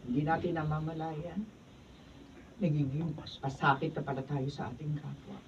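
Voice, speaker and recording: A woman's voice: low-pitched, flat delivery, moderate pace, vocal fry. A woman with a Filipino accent playing a repentant, well-meaning elder sister-in-law in a radio drama. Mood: remorseful. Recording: muffled, distant, faintly noisy studio.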